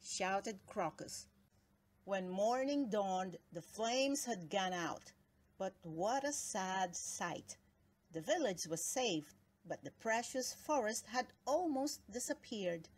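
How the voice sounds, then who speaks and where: Slow-paced woman reading a children's storybook. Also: medium pitch, lively intonation. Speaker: storybook reader. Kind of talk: expressive children's read-aloud narration.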